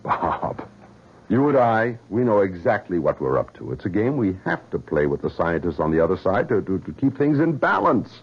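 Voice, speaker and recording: An actor playing a sensible, skeptical colleague in a 1970s radio thriller, with an emphatic, reasoning delivery. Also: male voice, sits low, big pitch swings, normal speed, husky, exaggerated voice. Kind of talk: 1970s radio drama acting. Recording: muffled, noisy vintage radio broadcast.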